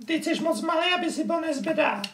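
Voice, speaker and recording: Cheeky little boy marionette, played by a woman, squeaky-high and chattering, puppet show, noisy amateur recording. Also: expressive. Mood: playful, eager. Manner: playful, cheeky, chatty, interjecting. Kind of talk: improvised puppet show for a small child. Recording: echoey room, noisy amateur home recording.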